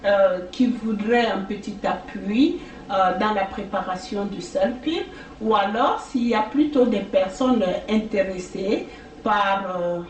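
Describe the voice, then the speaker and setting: Slow, hesitant French-speaking woman. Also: mid-range voice. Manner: slow, hesitant, many fillers. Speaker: language exam tutor. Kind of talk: casual video talk. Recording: echoey room, distant mic, faint noise.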